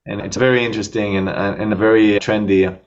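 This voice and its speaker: A man's voice: lowish voice, little pitch movement, brisk, muffled recording. A man with an Israeli accent, a startup co-founder, talking casually in an interview over a video call, with frequent fillers.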